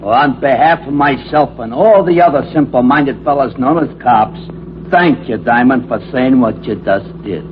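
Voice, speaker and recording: An actor playing a put-upon, sarcastic police sergeant with mock-formal delivery in an old-time radio crime drama. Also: male voice, medium pitch, moderate pace, close to an everyday voice. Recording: muffled old radio recording, faint noise.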